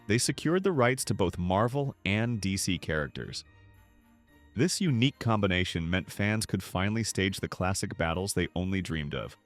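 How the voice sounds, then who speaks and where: A man reading a scripted, nostalgic documentary narration in a measured, polished way. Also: on the low side. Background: background music.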